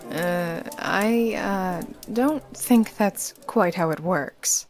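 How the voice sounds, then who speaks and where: Casting: woman as guarded young necromancer, dry and matter-of-fact, unhurried mid-pitched delivery. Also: faint music behind. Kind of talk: visual novel character acting.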